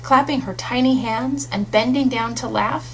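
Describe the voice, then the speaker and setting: A woman's voice: on the high side, normal speed, a bit bright. A woman reading a literary story aloud as an audiobook narrator, in a scripted storytelling style. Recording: faintly noisy audiobook recording.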